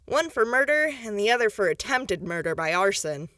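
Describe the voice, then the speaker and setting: A brisk, no-nonsense small-town official lays things out plainly. The voice is bright and mid-pitched, recorded close and dry with no room sound. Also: female voice, big pitch swings, no echo. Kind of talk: radio drama acting.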